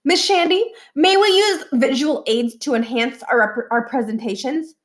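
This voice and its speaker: A woman's voice: sits high, normal speed, voice pushed up, lightly acted. A woman voicing an eager, overachieving schoolboy who talks in a polite, formal, precise way for a storybook. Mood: earnest, polite.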